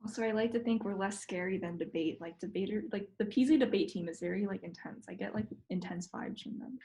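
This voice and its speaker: A woman's voice: medium pitch, fast talker, mellow. Chatty young woman, club president. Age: young adult. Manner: chatty, lots of "like", drops to near whisper. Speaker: mock trial club president. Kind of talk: casual Zoom chat. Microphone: video call.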